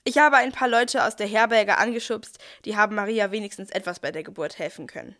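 A woman's voice: medium pitch, fairly quick, a bit bright, lightly acted. A woman playing a busy, down-to-earth archangel who tells the story in a scripted nativity audio play, chatting directly to the listeners. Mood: earnest. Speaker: narrator.

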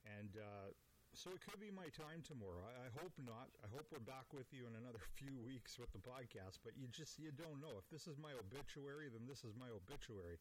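A man's voice: mid-range voice, animated, brisk, background noise. A man in his forties hosting a podcast, rambling through a casual, profane, self-deprecating monologue. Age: 40s. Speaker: podcast host.

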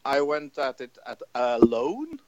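A man tells a rambling story in a casual movie chat, speaking slowly with loose phrasing. His voice sits mid-range. The recording is dull and lo-fi, with dry sound. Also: mid-range voice, huge pitch swings, no echo. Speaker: podcast co-host. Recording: dull lo-fi mic.

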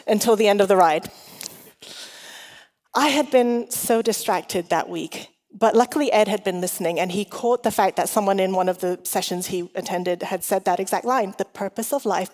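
A woman's voice: medium pitch, darkish tone. A middle-aged woman with a mixed, shifting international accent, preaching conversationally with chatty, self-deprecating humour. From Hong Kong. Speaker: guest preacher. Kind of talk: conversational sermon to a congregation. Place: large reverberant hall. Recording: long hall reverb.

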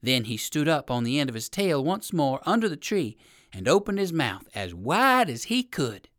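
Animated woman reading a children's story. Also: low voice, normal speed, no echo, clean studio sound. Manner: expressive, emphatic, addressing young listeners.

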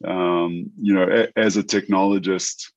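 A New Zealand man in his fifties with an American accent, a tech entrepreneur talking through an interview in a halting way, full of restarts and fillers. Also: low-pitched, fairly even intonation, no room sound, highs cut off. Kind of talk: casual interview chat.

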